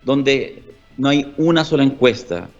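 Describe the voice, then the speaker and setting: A man's voice: medium pitch, lively intonation, a little slow, highs cut off, faint music behind. A man, a communications consultant on a political panel, speaking analytically and wryly. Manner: analytical, wry, mock-quoting others.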